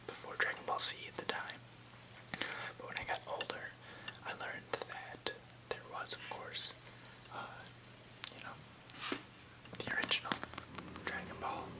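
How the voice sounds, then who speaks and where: A young adult ASMR host speaking in a soft, breathy whisper, rambling casually in a hesitant, unhurried way. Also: rough recording.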